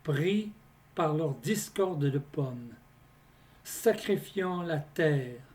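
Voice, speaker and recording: A man reciting his poems in a scripted, deliberate delivery, phrase by phrase with pauses between them. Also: a little high, expressive, a little mellow. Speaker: poet reading own poems. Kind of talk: slow scripted poetry recitation.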